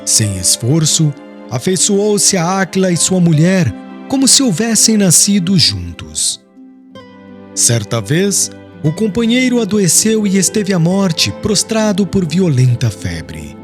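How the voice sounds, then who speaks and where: A man reading an audiobook in measured, solemn scripted narration. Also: sits high, huge pitch swings, faint music behind.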